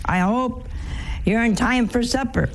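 A hoarse older woman hosting a TV program, teaching on faith in a folksy, casual way with chuckling asides. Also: fairly high pitch, slight background noise, rough recording. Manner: folksy, chuckling asides, slow conversational delivery. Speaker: TV show host, nun.

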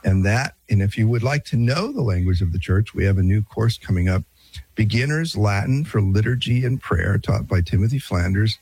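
A man's voice: lowish voice, animated, normal speed, darkish tone, slightly rough, rough recording. A man hosting a radio show, moving from the interview into a break and a friendly, conversational promo.

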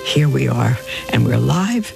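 A woman hosting live devotional radio in a hoarse voice, talking informally and haltingly with affection for her listeners. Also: very deep voice, expressive, loud music behind the voice. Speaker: religious radio host.

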